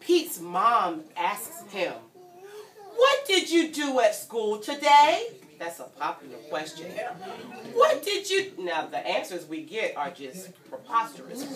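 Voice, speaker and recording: A woman reading a story aloud to young children in an animated, teacherly way, prompting them to join in and breaking into sung refrains. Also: fairly high pitch. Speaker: guest story-time reader for young children. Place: indoor room at a childcare centre. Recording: echoey room, distant mic, children around.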